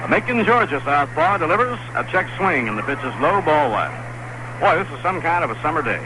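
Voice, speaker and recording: A man calls play-by-play on a 1960s baseball radio broadcast. His voice sits a little high, and he is brisk and easygoing. Steady hiss and noise run under the old recording. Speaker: play-by-play announcer. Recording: noisy vintage radio broadcast.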